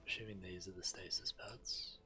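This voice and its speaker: Male game streamer, low-pitched, casual commentary thought out aloud over clear background music. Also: huge pitch swings, moderate pace. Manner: thinking aloud.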